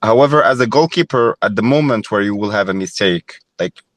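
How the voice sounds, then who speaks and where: Young male guest, deep and dry, thoughtful with many restarts. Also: expressive, brisk, no echo. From Morocco. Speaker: former goalkeeper, guest. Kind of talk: reflective podcast interview.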